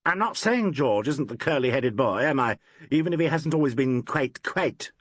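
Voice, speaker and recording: A man's voice: medium pitch, animated, moderate pace, dry recording, voice pushed up, natural voice. A man doing a cooing, needling stage actor. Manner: teasing, cooing.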